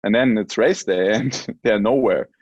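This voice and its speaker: A man's voice: fairly low pitch, a little slow, a little mellow, husky, dry recording, dull audio. A man, interviewing a fellow athlete in a friendly, casual way. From Germany. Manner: friendly, brief interjections, laughs along. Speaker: interviewer, former world champion.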